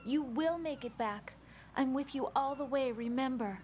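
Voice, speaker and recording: Brief: actress as a gentle, high-voiced game support partner. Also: female voice, normal speed, slight background noise, natural voice. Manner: gentle, reassuring. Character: caring young woman supporting over radio.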